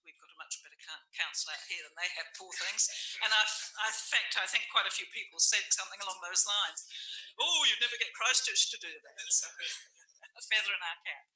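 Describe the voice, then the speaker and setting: A woman with a New Zealand accent, a community board member, giving a loud, cheerful and chatty report at a meeting. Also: lowish voice, normal speed. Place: council meeting room. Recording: echoey meeting room.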